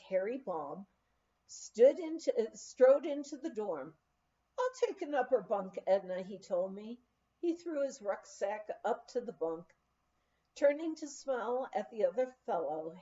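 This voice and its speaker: A woman's voice: on the high side, dark tone, muffled recording. A woman reading a memoir aloud as a storyteller, with emphatic phrasing. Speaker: audiobook reader.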